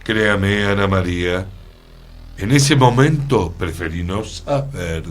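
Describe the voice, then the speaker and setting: An actor playing an earnest, mysterious storyteller in a radio play, pausing for dramatic effect. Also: male voice, lowish voice, expressive. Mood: grave storytelling. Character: earnest, mysterious male storyteller recounting an enchantment. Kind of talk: radio theatre acting.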